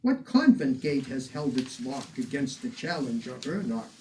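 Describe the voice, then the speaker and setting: A man reciting old poetry dramatically in a measured, declamatory way, with deliberate phrasing and emphatic swells. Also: mid-range voice, very animated, dark tone. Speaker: reader of poetry, museum curator. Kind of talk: dramatic poetry recitation in the person of a 19th-century poet. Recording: distant microphone with some background noise.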